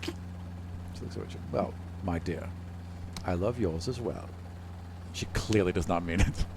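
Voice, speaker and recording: A man's voice: sits low, noisy background. A man improvising narration as game master of a tabletop game, casual and joking. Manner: casual, joking, quick to laugh.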